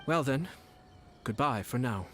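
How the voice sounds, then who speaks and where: An actor playing a calm, calculating young nobleman in a video game, composed, measured and courteous. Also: mid-range voice, a little hiss or hum, lightly acted. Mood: composed, polite.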